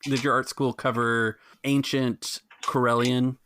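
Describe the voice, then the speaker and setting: A man's voice: mid-range voice, level tone, unhurried, a bit bright. A man hosting and running a tabletop role-playing game, narrating scenes and rules in a casual podcast style. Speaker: game master and show host.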